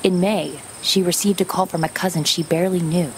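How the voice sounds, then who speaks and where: A low female voice narrates a true-crime audio documentary performed by an actress. The delivery is measured, sober and factual, with faint noise behind it. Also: steady tone, lightly acted. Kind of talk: scripted narration.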